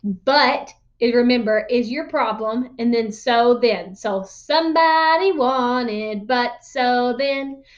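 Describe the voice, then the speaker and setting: A woman's voice: on the high side, slow pace, dull audio. A woman teacher giving an informal, explanatory read-aloud lesson.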